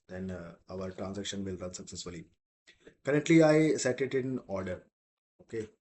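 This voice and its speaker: A man teaching in a software demo, explaining step by step in a halting, repetitive way. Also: lowish voice, slight background noise. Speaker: software instructor.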